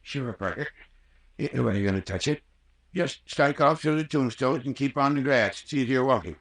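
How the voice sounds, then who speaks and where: A man's voice: mid-range voice, normal speed, natural voice. A man playing a folksy, crusty, practical country doctor in an old-time radio drama. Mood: wry. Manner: folksy, wry. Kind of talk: 1940s radio horror acting.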